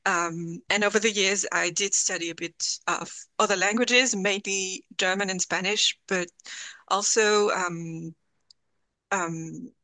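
A woman's voice: medium pitch, steady tone, slow pace, pushed and bright. A woman from France chatting as a guest, with frequent fillers and self-corrections. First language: French. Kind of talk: casual chat.

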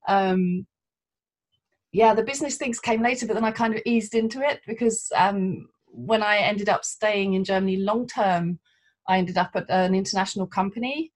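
A middle-aged woman from London chats as a podcast guest. She pauses often and fills gaps with small hesitations. Her voice has an average pitch for a woman. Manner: chatty, hesitant, frequent fillers. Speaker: translator, interview guest. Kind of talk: conversational interview.

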